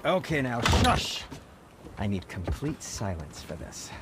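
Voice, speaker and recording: Game role: bossy, fussy craftsman, mid-pitched with lively swings, under loud noise. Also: slow pace. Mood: fussy, focused. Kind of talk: video game character dialogue.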